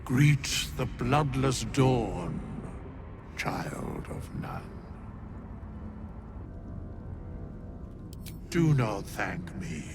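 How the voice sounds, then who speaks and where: An actor playing an ancient, solemn undead scribe in a fantasy game, speaking archaic words in grave, formal pronouncements. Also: male voice, medium pitch, vocal fry. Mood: solemn, consoling.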